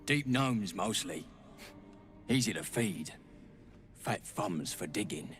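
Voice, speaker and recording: Scornful game dwarf, callous, deepish, unhurried, faint music. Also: male voice, lively intonation, a bit bright. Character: callous, contemptuous dwarf slave-keeper.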